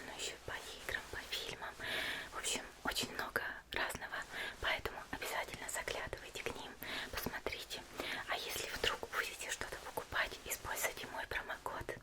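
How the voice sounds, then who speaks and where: A woman whispers softly as she walks through a product review in ASMR style. Her voice stays breathy and unvoiced, as a gentle whisper from start to finish. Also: brisk. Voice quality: soft whisper. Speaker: ASMR creator.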